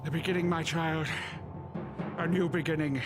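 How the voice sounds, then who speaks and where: Guy playing old grandpa time traveller, dithery n repeats himself, highish voice, music behind, audio drama. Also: steady tone, normal speed, close to an everyday voice. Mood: awed, resolute. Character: elderly time-travelling alien grandfather, doddering yet awestruck fugitive.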